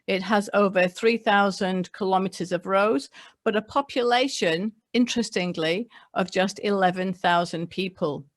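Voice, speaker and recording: A middle-aged woman born in England, a local government leader giving a prepared webinar address in a measured way, reading figures from her notes with small slips. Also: medium pitch. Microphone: computer mic on a video call.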